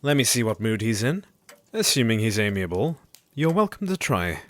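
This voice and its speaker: A man acting in an audio drama as a gentle, troubled Victorian doctor with courteous, formal speech. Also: on the low side, very animated, normal speed. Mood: obliging.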